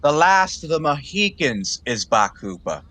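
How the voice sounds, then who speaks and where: A man's voice: a little high, big pitch swings, unhurried, bright, forceful tone, full character voice. An actor playing an earnest, lovestruck, rather pompous young man in a 1920s comedy audio play, speaking in a florid, self-serious way.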